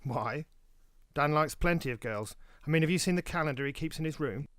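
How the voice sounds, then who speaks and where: A man playing a composed, stern royal godfather in an audio drama, with measured, formal delivery. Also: a little high, no echo, clean studio sound, natural voice. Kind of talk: scripted audio drama acting.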